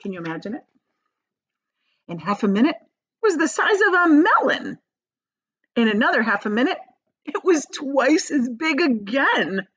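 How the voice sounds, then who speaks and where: Brief: woman teacher narrating a children's book, lively varied delivery, close dry room, muffled home recording. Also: high-pitched, normal speed, pauses often, slightly rough, no room sound. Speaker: teacher reading aloud. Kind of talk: expressive storybook narration.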